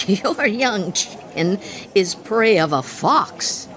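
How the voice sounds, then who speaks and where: An actress playing a witty, boozy woman poet with theatrical bravado in a radio play, in a wry, declamatory, halting tipsy manner. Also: medium pitch, animated, a bit bright. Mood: amused, gossipy. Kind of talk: radio theatre acting in verse.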